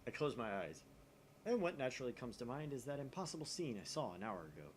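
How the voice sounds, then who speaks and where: A man reading a visual novel aloud in theatrical, expressive narration. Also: medium pitch, quick pace, slight background noise. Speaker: gaming channel host.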